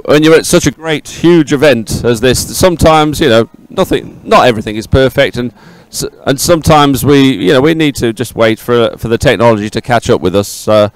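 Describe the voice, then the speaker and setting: A man's voice: medium pitch, brisk, hardly any pauses, smooth, dry recording, poor audio. A man presenting a live sporting event in unscripted chat, effusive and repeating words for emphasis. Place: outdoors at a busy regatta venue.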